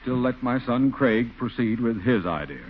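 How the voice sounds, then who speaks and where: A man's voice: medium pitch, expressive, normal speed, close to an everyday voice. An actor playing a brusque, self-important male neighbour in a 1940s radio comedy. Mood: stiff, formal. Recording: muffled old radio recording, faint noise.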